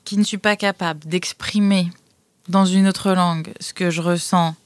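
A woman's voice: on the low side, steady tone, moderate pace, dry recording. A woman in casual discussion, reflective and searching for her words. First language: French.

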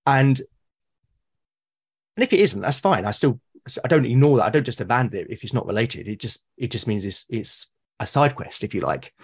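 A man's voice: mid-range voice, animated, normal speed, husky. A British man with a mid-range voice chats as a podcast guest. He talks thoughtfully and at length, and often circles back to rephrase what he means. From Britain. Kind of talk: casual conversation about solo roleplaying.

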